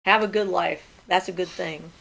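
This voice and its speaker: Older woman hosting from home; lowish, gravelly voice; casual, unhurried livestream chat. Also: rough recording. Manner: relaxed and chatty, thinking aloud with fillers. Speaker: host of a magic Q&A livestream. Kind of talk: casual livestream chat, reading viewer questions.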